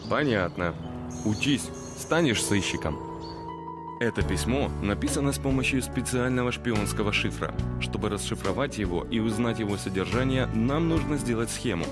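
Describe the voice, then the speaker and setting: A man's voice: lowish voice, lively intonation, normal speed, loud music behind the voice, natural voice. A man voicing a know-it-all robot compass in a children's cartoon, explaining things patiently with a slightly teasing, instructive manner.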